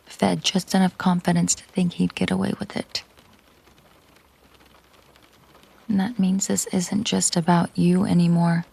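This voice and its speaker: Slow, husky woman acting a mafia boss girlfriend. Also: on the low side, full character voice. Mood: quietly menacing. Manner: slow, deliberate, hushed, often breathy near-whisper. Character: possessive, menacing mafia boss girlfriend, controlled and protective. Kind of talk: intimate audio roleplay monologue.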